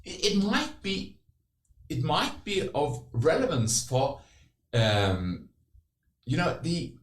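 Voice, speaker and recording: A man from the west coast of Norway, co-hosting a conversational podcast and talking in halting phrases full of restarts. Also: fairly high pitch, wildly swooping pitch. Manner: halting, many restarts and repeated words. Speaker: podcast co-host. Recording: roomy echo.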